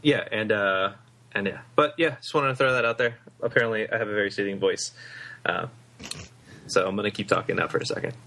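A man's voice: on the low side, brisk, sharp tone, a little hiss or hum. A man co-hosting a podcast in casual chat, speaking with hesitant starts. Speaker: podcast co-host.

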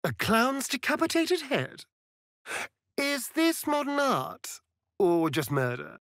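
A flirtatious, theatrical male vampire rogue from a fantasy game. The delivery is arch and unhurried, sliding widely up and down in pitch with sly, sardonic asides. The recording is dry, with no room sound. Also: big pitch swings, a bit bright, no echo, heavily acted. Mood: arch, amused. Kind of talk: video game character voice acting.

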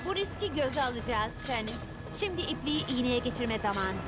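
A woman voicing an eager, confident young girl in a children's cartoon dub, with an excitable, emphatic delivery. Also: on the high side, brisk, over loud music. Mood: confident, encouraging.

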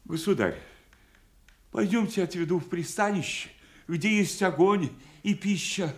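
A man's voice: high-pitched, measured pace. An actor playing a kindly, concerned, discreet nobleman in a classical Soviet radio drama. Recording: echoey Soviet radio theatre recording.

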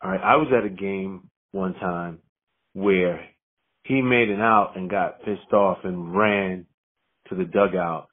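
A man's voice: low-pitched, slow, breathy edge. A man calling in with a casual sports gripe left on voicemail. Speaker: caller. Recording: tinny, narrow phone voicemail.

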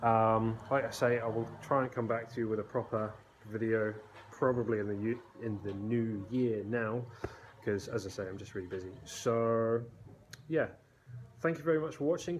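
A man's voice: medium pitch, normal speed. A man in his thirties walking through his workshop, filming himself on a phone held at arm's length and talking casually with many fillers. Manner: rambling and relaxed, many fillers and restarts. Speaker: blacksmith vlogger. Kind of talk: casual phone video walkthrough. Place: indoor workshop with concrete walls. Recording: noisy workshop phone recording.

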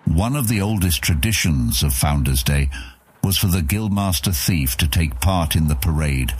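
Deep-voiced male audiobook narrator. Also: lively intonation, normal speed, husky.

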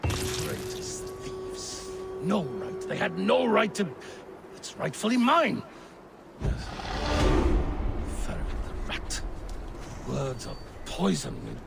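Gravelly, wrathful Viking jarl. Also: male voice, high-pitched, huge pitch swings, slow pace, pauses often, exaggerated voice. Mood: agitated, paranoid. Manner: imperious, accusatory. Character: paranoid, wrathful middle-aged Viking jarl. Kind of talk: dramatic video game acting. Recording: noisy game ambience behind.

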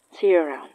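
A woman playing a guarded, gently concerned young woman in a naturalistic horror audio drama, speaking softly and hesitantly. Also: no room sound, lo-fi sound, close to an everyday voice. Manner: hesitant, soft, evasive.

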